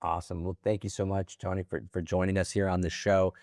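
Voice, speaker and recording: Chatty low-voiced man hosting. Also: flat delivery, fast talker, darkish tone, no echo, clean studio sound. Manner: chatty, effusive, repeats himself. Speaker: podcast host. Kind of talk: conversational interview.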